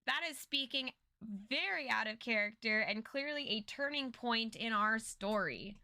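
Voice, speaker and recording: Bright, high-voiced woman co-host. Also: animated, unhurried, no room sound. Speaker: co-host of a story recap show. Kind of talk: casual commentary and chat.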